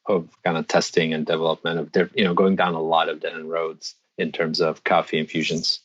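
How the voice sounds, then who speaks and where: Very deep-voiced Czech-born designer, measured. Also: male voice, flat delivery, breathy edge, no echo, lo-fi sound. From Czech Republic. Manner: thoughtful, restarts often, measured. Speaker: guest, designer and metalsmith. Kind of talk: interview answers.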